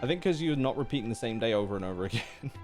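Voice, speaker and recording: A man's voice: medium pitch, lively intonation, racing. A man giving casual, slangy live stream reactions to a video game and chatting to viewers. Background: game music.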